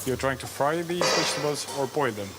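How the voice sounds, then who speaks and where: A man's voice: medium pitch, brisk, poor audio. Guy chef judge giving firm instructions, music in background. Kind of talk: hosting a cooking competition.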